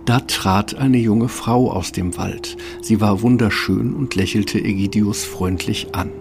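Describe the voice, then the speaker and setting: A man's voice: fairly low pitch, unhurried, gravelly, background noise. A man narrating a scripted fairy tale as storyteller. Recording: music underneath.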